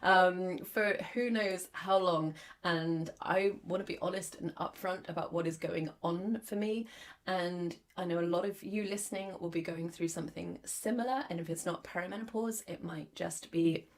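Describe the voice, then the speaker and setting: A woman in her forties hosting a podcast, hoarse as her lost voice comes back, talking candidly and reflectively with many fillers. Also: on the low side, fairly even intonation, normal speed. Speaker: podcast host. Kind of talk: solo podcast monologue.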